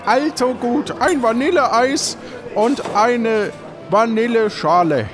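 Man putting on a high-pitched tavern waiter. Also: takes it slowly. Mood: brisk, businesslike. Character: chatty high-voiced tavern waiter in a pirate adventure. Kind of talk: improvised comic audio play. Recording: music behind the voice.

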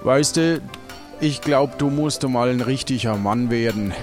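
A man acting as a pirate mate in an audio play, with a medium-pitched voice. He teases and goads in a casual, improvised way over soft music. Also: unhurried, close to an everyday voice. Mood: teasing, goading. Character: teasing, goading male pirate companion.

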